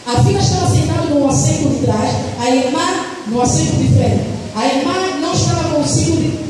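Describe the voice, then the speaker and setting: A woman with a high voice preaches in a church, telling a testimony in an earnest way. Her voice booms around a big, echoey hall. The mic is set some distance from her, and steady noise runs underneath. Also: fairly quick. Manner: earnest storytelling, asks the congregation for amens. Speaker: missionary preacher. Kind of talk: sermon with a personal testimony.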